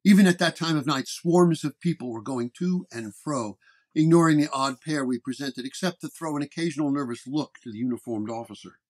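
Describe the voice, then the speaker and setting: Higher-pitched man narrating detective fiction. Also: a little fast. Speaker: narrator and reader. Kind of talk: first-person scripted detective story narration.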